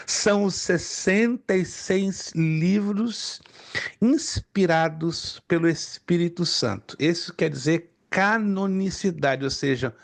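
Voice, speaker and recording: A man teaching a theology class, wandering into stories and putting questions to his students. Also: fairly high pitch. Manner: digressive, asks the class questions. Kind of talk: classroom lecture.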